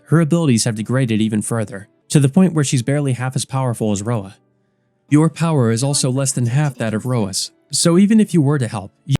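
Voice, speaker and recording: A man's voice: mid-range voice, dark tone, close to an everyday voice. A man voicing a composed, stern but caring older schoolgirl who explains and cautions precisely in a visual novel. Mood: firm, warning. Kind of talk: visual novel character reading.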